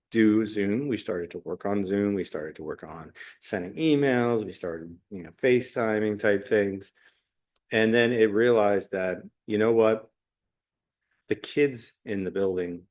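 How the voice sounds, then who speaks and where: A middle-aged man talking unscripted as a solo podcast host, reflective and halting, often pausing mid-phrase. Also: on the low side, a little mellow. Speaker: podcast host, special education teacher.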